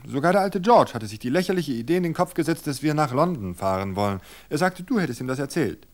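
Male reader doing a jittery young wife, raised pitch, hesitant. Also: expressive, fast, natural voice. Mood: nervous. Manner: nervous, hesitant, stumbling restarts. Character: anxious young wife, restless and defensive.

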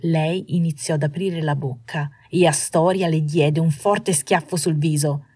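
A woman's voice: sits low, fairly even intonation, unhurried, darkish tone, breathy edge, no room sound, clean studio sound. A woman reading a scripted story as an audiobook narrator.

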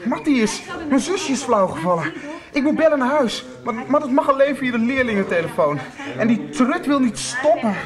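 A flustered teenage schoolboy in a radio soap. The voice is fairly high and swings about, hesitant and anxious, with a busy crowd behind. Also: female voice, animated, normal speed. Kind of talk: 1990s radio soap acting.

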